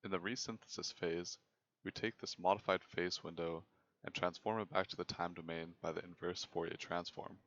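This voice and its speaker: A young man giving scripted technical narration as a presenter, in a measured, formal way. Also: low voice, fairly even intonation, fairly bright tone, lo-fi sound, a little hiss or hum. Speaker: presenter of a student software project.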